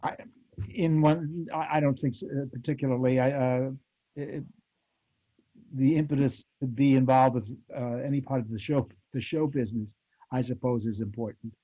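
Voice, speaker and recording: Dull dry lo-fi recording, older American man, mellow voice, halting, reflective, panel guest. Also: mid-range voice, steady tone, dry recording. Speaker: panel guest, former child actor and TV director. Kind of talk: reminiscing in an online panel interview.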